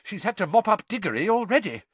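A man voicing a stern, older female professor who speaks in clipped, brisk commands. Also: high-pitched, big pitch swings, a bit bright, breathy edge, no room sound, voice pushed up, heavily acted.

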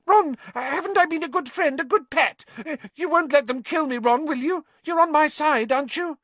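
A man voicing a cowardly, rat-like man who pleads in a shrill, squeaky, wheedling voice for an audiobook story. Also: expressive, slow pace, no room sound, full character voice. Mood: wheedling, desperate. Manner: wheedling, imploring, gasping.

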